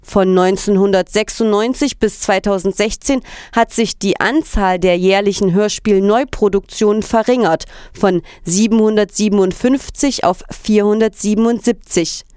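A woman's voice: medium pitch, a little mellow, smooth, no room sound, clean studio sound. A woman reading scripted news articles and statements in a formal style. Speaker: reader of quoted articles and statements.

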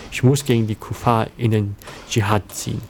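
Man playing a low, gravelly young zealot. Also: steady tone, normal speed, a little mellow. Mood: earnest, resolute. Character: zealous young male convert, disdainful, devoted to jihad. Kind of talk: scripted audio drama narration in first person. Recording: clear background noise and ambience.

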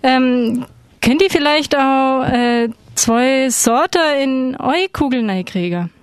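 A woman's voice: high voice, takes it slowly, clean tone, dry recording. A woman playing a flustered, polite customer in a live radio play, hesitating, stammering and repeating herself. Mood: hesitant, flustered.

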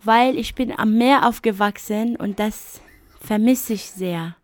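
A woman whose first language is Arabic talks in a radio interview, her voice sitting a little high. She speaks thoughtfully, pausing with fillers. The sound is dry and close. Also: darkish tone, dry recording. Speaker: interview guest. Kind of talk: radio interview, personal account.

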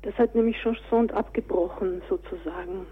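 A woman's voice: medium pitch, fairly even intonation, normal speed, slightly rough. Woman interviewed over a thin, phone-like sound. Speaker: interview guest, author, director and producer. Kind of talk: conversational interview answers.

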